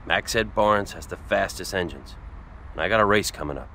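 An actor playing a tough, laconic American biker out for revenge, terse and self-assured, in a video game. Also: male voice, low-pitched, normal speed, bright, forceful tone, slight background noise. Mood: firm, earnest. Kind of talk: video game cutscene acting.

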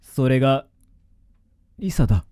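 A man's voice: medium pitch, lively intonation, slow, mellow, clean tone, no room sound, clean studio sound. An actor playing a grave, apologetic middle-aged father full of remorse in a radio drama. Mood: grave, remorseful. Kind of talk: Japanese radio drama acting.

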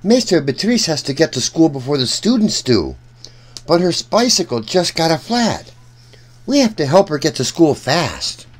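A man reading a storybook aloud for children in an animated, deliberate way, speaking directly to young listeners. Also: a little high.

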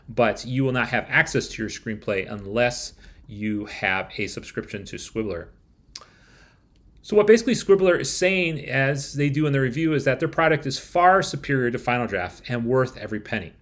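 A man's voice: mid-range voice, a bit bright. A man in his forties presenting a scripted review to camera, with wry rhetorical asides. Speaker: software reviewer. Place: small room at home, indoors.

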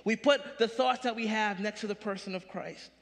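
A man in his thirties preaching a sermon from a large church stage, animated, with punchy rising questions. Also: high voice, moderate pace, creaky, in a large hall. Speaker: pastor.

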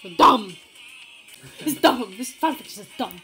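A woman's voice: a little high, lively intonation, measured pace, sharp tone, faint music behind. A woman giving a comedic, mock-dramatic reading of fanfiction, playful and giggly, breaking off to comment. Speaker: fanfiction reader.

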